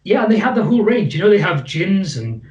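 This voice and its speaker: A man with a Welsh accent, a guest on a video call, talking in a relaxed and jokey way. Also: high-pitched, brisk, roomy sound, highs cut off. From Wales. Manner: easygoing, jokey. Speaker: guest psychologist. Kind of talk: relaxed conversation and presentation.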